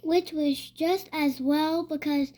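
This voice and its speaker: Child reader, high voice, very slow and hesitant storybook read-aloud, repeats words while sounding them out. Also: fairly even intonation, mellow. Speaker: young reader.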